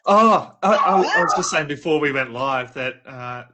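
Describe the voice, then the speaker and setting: A man's voice: mid-range voice, lively intonation, normal speed, very noisy. A man appearing as a guest on a leadership interview, telling anecdotes, asking questions and laughing often. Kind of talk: casual interview over a live video call.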